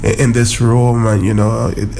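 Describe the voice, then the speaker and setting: A man's voice: lowish voice, moderate pace, gravelly, slight background noise. A man hosting a radio talk show, nasal and congested, with stammering restarts. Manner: stammering restarts, lively intonation.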